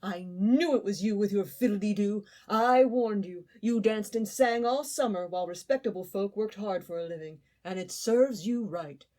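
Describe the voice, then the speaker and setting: High fussy male ant, prim and stingy, lively bouncing voice, as a woman reading puts him on. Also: a little slow. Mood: scolding. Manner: high fretful exclaiming.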